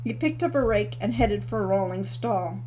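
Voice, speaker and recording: A woman author reading her novel aloud as the narrator, in a steady storytelling manner. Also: mid-range voice, moderate pace, slight background noise, poor audio. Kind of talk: scripted audiobook narration.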